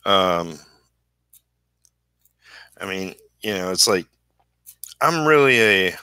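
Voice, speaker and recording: A man's voice: sits low, expressive, slow pace, sharp tone, smooth, dry recording, studio quality. A man chatting casually on a livestream while he works, rambling and trailing off mid-thought in an easygoing, self-deprecating way. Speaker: comic book inker live streaming.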